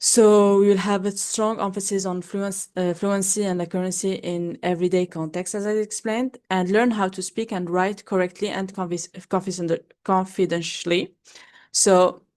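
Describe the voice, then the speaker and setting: A woman's voice: mid-range voice, fairly even intonation, moderate pace, darkish tone. A French-woman, introducing an online class in a chatty way that is full of "like" and "okay?". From Algeria. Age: 20s. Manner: chatty, fills with "like" and checks in with "okay?". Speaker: French teacher.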